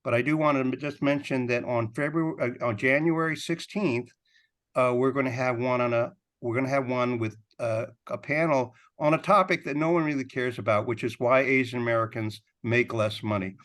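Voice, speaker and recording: A man moderating a video-call panel, who speaks haltingly with many fillers and restarts. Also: mid-range voice, fairly bright tone. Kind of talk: hesitant spoken introduction.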